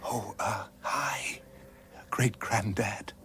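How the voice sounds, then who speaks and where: An actor playing a brash, cocky, flippant galactic ex-president with a slangy drawl, in a science fiction radio comedy. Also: male voice, lowish voice, drawn-out words, pushed and bright, whispered, heavily acted. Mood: sheepish, evasive.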